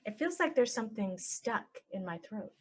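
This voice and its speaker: A woman teaching English phrases, saying each one and then repeating it slowly and clearly for learners. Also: mid-range voice, big pitch swings. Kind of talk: slow phrase-by-phrase language lesson.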